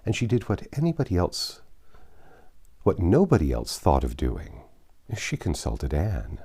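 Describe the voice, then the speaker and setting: A man with a low, mellow voice narrates classic literature. His tone is slightly husky. He tells the story in a measured, formal way, with expressive movement in his pitch. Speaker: audiobook narrator. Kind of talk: scripted narration of a classic novel.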